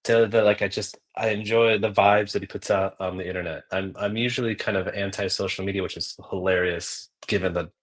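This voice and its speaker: A low-voiced man, the guest on a live video-call interview, talking in an easy, relaxed way. He speaks casually, with a quick laugh now and then.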